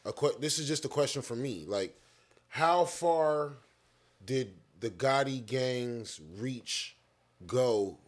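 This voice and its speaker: A man's voice: mid-range voice, slow. Hype podcast host man. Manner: hypes the guest with short back-channel responses. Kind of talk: casual podcast chat.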